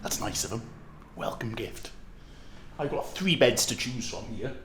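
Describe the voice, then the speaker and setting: A man's voice: mid-range voice, expressive, measured pace. A middle-aged man from Wales with a Welsh accent, talking casually and rambling as he films his travels. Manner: chatty, rambling, self-deprecating. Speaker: travel vlogger. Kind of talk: casual vlog narration. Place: indoor room in a guest house. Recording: echoey with background noise.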